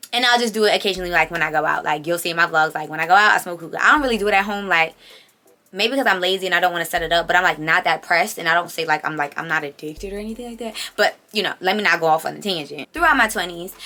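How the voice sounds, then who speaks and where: A woman in her late twenties speaks in a bright, slightly low voice with a touch of congestion. She chats casually as if to her channel's followers, emphatic and full of slangy asides. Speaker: vlogger hosting own channel. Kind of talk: casual confessional chat to camera.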